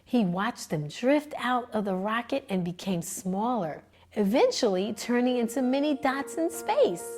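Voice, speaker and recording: A woman librarian reading a children's book aloud, gentle and expressive in her storytelling. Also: fairly high pitch, a little slow.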